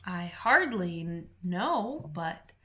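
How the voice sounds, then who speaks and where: Lady doing a tiny tired lizard guy, super soft and weary, slow. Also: lowish voice, sing-song, exaggerated voice. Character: small worn-out male lizard, Bill.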